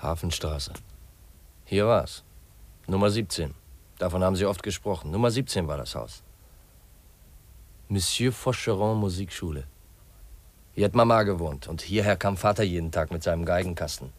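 A man's voice: deep voice, normal speed, pauses often. An actor playing a polite, wistful orphaned young man of twenty, earnest and dreamy, in a 1950s radio play. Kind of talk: 1950s German radio drama acting.

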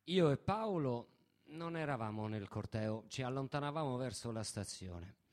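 A man's voice: medium pitch, animated, moderate pace, darkish tone, close to an everyday voice. An actor playing a young male leftist militant giving his account in a radio theatre reading. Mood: grave, testifying. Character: young armed leftist militant recounting a shootout.

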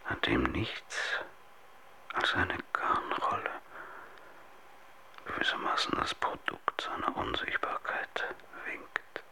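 A man acting a brooding figure in a literary radio drama monologue, obsessively deliberating in halting mutters that often sink into breathy whispers. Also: bright, forceful tone, poor audio. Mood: hushed, absorbed.

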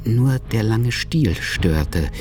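A man narrates a radio drama in a low-pitched voice. The delivery is scripted storytelling. Music runs clearly beneath his words. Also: lively intonation, drawn-out words. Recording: studio production with music underneath.

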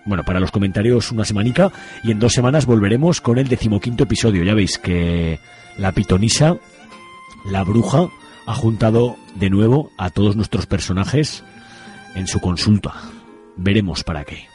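Relaxed man closing a radio show. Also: lowish voice, normal speed, pauses often, faint music behind. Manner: relaxed, informal. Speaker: radio drama producer, host. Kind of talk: casual outro announcement.

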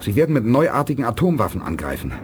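An actor playing a firm, stern astronaut commander in a 1980s German radio drama, speaking insistently. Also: male voice, mid-range voice, big pitch swings, fast, a little hiss or hum, close to an everyday voice. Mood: urgent, insistent.

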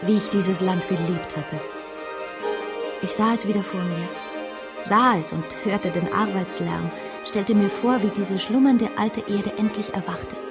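A reflective woman played by an actress narrates her past in literary radio-drama style. She sounds devoted but uneasy, with a middle-pitched voice and clear music behind her. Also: measured pace, natural voice. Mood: wistful.

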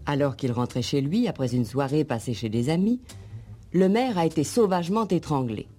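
A woman's voice: low voice, lively intonation, moderate pace, mellow. A woman narrating a radio drama from a script, in an old broadcast recording. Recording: 1960s radio recording over guitar music. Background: plucked guitar music.

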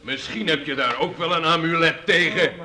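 An actor playing a gruff, hot-tempered knight in a radio play, contemptuous and blustering. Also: male voice, on the high side, normal speed, bright, forceful tone, slight background noise. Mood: scornful.

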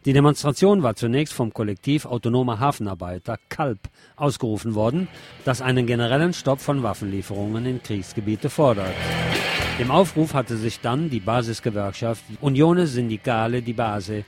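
A man's voice: mid-range voice, animated, moderate pace, a little mellow, soft background music. A man presenting radio news, reading from a script in German news style.

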